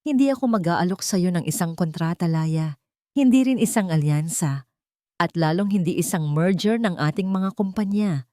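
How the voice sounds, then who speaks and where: An earnest, loving businessman in a romance story, voiced by a woman. The voice is soft-edged and fairly low, with a sincere, measured delivery. The sound is dry. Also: no room sound, lightly acted. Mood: tender, earnest. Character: earnest, tender businessman proposing a family life.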